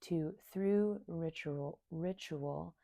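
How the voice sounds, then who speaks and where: Slow, low-voiced woman guiding a meditation. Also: creaky, warm tone, no echo. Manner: unhurried, soothing, with long pauses between short phrases. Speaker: meditation guide and somatic coach. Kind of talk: guided meditation.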